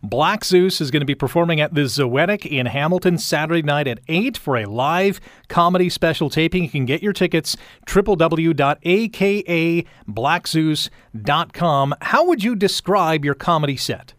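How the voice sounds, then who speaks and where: A male radio host with a slightly high voice. He interviews in an upbeat broadcast manner, recorded close and dry in a studio. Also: lively intonation, moderate pace, few pauses, fairly bright tone, dry recording. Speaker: radio morning show host.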